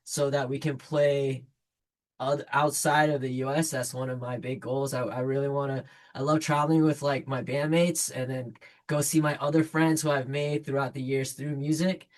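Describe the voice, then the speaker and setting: A man in his late thirties who grew up in China, speaking earnestly in a casual interview and pausing to restart his sentences. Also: medium pitch, flat delivery. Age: 30s. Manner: earnest, reflective, halting restarts. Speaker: band member.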